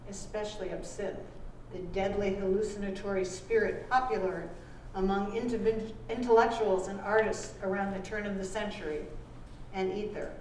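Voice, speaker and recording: Female author, scripted live reading, measured deliberate phrasing, medium pitch, distant echoey recording with faint noise. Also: darkish tone. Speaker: author reading from their book. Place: live book launch performance in a room.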